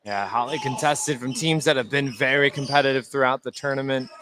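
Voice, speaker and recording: A man giving measured, conversational color commentary on a live basketball game. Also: mid-range voice, fairly even intonation, noisy background. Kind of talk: unscripted sports analysis.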